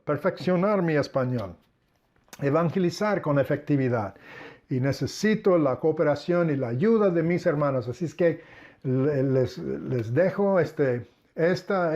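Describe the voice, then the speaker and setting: A man's voice: high-pitched, darkish tone. Earnest older man pastor, lo-fi. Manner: earnest, measured, addressing listeners as brethren. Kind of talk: personal ministry testimony. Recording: dull lo-fi recording.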